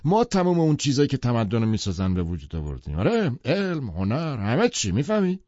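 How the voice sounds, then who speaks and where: A man's voice: mid-range voice, wildly swooping pitch, darkish tone, no echo, voice pushed up. A man voicing a boastful, arrogant wealthy man who lectures emphatically, in a dull lo-fi audiobook recording. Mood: blustering.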